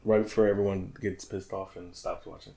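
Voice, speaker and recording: Male co-host, lowish voice, easygoing and blunt, casual swearing. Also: level tone, fairly quick, gravelly, warm tone. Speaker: podcast co-host. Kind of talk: casual chat.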